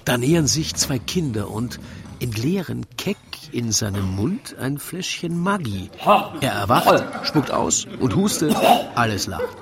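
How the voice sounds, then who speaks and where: A man reading a comic rhymed narration in a wry, theatrical, measured way. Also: mid-range voice, gravelly. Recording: lively crowd chatter behind. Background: crowd murmur.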